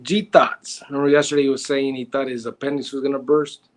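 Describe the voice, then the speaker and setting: A man's voice: mid-range voice, little pitch movement, brisk. A man, hosting a casual livestream, joking and teasing while reading out viewer comments. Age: 40s. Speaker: livestream host.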